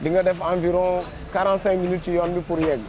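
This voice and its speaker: A Senegalese man gives a spontaneous interview answer. His voice is high for a man but stays very flat in intonation, and he slips between two languages. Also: brisk. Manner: mixes Wolof and French. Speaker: resident interviewee.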